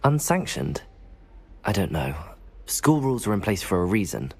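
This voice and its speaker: A man's voice: on the low side, big pitch swings, normal speed, gravelly, husky, exaggerated voice. A man voicing a composed, confident new wizard student who speaks politely and understatedly.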